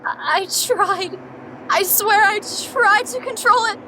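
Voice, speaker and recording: A woman playing a gloomy, anxious teenage girl in an anime game fandub, halting and overwrought. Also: extremely high pitch, noisy background. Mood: pleading, anguished. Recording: loud music behind. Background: music.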